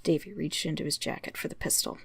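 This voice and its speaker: A woman's voice: deep voice, fairly even intonation, quick pace, vocal fry, husky, no echo, studio quality. A woman giving a dramatic scripted narration as she reads a story. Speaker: story reader.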